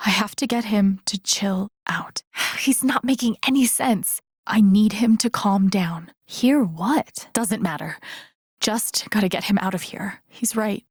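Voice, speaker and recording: A woman voicing a young, introspective, dry-humored game heroine, murmuring her thoughts in a husky, breathy voice. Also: mid-range voice, a little slow, dry recording. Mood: worried, urgent. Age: young adult. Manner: murmured, breathy thinking aloud, often near a whisper. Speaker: video game voice actress. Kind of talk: soft inner-monologue game voice acting.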